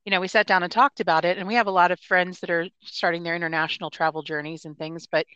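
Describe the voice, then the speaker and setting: A woman's voice: fairly low pitch, level tone, fast, a bit bright, clean tone, dry recording, lo-fi sound. A woman from the United States hosting a podcast interview, upbeat and chatty. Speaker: podcast host.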